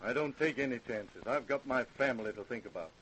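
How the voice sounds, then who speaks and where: An actor playing a callous plantation owner in a radio drama, gruff and self-justifying. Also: male voice, medium pitch, brisk, a bit bright, a little hiss or hum, lightly acted, rough recording. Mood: guarded. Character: defensive, callous Southern plantation owner, villain. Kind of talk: old-time radio drama acting.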